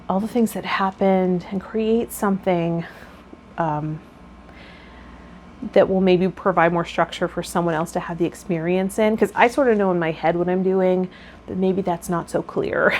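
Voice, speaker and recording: A woman's voice: fairly low pitch, a little mellow. A woman speaking as a tarot vlogger and guide, explaining and chatting directly to viewers. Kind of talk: reading card meanings, then casual chat to viewers. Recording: clear background noise.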